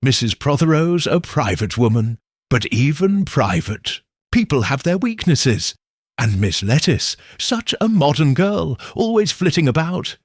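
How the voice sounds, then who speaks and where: A man voicing a shrewd elderly village spinster, speaking in a gentle, probing, knowing way. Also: fairly high pitch, big pitch swings, measured pace, slightly rough, no echo, studio quality, pitched above the performer's own voice, full character voice. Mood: shrewd musing.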